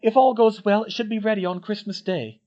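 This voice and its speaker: A man voicing an earnest, anxious teenage schoolgirl witch in a high, pleading voice. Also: fairly even intonation, brisk, warm tone, lightly acted. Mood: earnest, determined. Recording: dull lo-fi recording.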